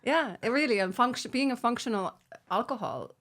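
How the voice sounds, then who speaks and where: A woman's voice: fairly high pitch, animated, a little fast, a bit bright. A middle-aged woman from Finland with a Finnish accent, talking frankly about her recovery as a podcast guest and laughing often between phrases. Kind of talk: candid personal recovery story.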